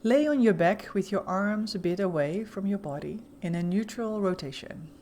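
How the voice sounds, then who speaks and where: A woman leading a guided meditation as an instructor, giving gentle, soothing, softly spoken instructions with pauses. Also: medium pitch, expressive, a little hiss or hum.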